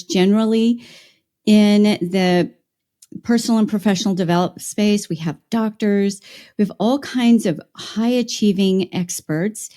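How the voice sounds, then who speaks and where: A woman's voice: medium pitch, mellow. A middle-aged woman hosting a podcast, welcoming her guest with enthusiasm. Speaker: podcast host. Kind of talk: interview hosting.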